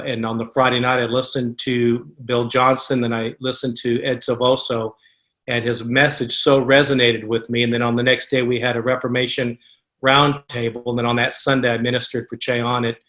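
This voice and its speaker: A man's voice: medium pitch, flat delivery, moderate pace, fairly bright tone. A man hosting a ministry call, introducing speakers in an earnest, rambling way. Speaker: host.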